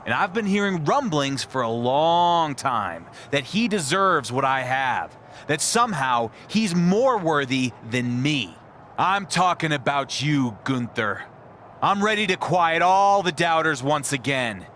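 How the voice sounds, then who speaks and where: A cocky wrestling champion. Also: male voice, on the high side, animated, measured pace, a bit bright, slight background noise. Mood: defiant, determined. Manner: cocky, determined promo delivery. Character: confident, defiant male pro wrestling champion. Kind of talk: scripted video game cutscene acting.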